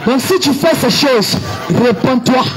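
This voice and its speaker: A man preaching an outdoor evangelistic sermon in Ivorian French, shouting and exhorting his listeners with repeated refrains. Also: very high voice, unhurried, low-quality recording. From Ivory Coast. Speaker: street preacher. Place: outdoors in a town, with a crowd. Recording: loud street crowd and music.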